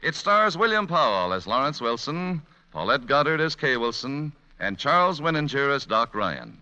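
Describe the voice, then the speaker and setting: A man's voice: mid-range voice, big pitch swings, moderate pace, pushed and bright. A man announcing and narrating a comedy in the scripted style of 1940s radio. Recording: dull, lo-fi vintage radio recording. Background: music.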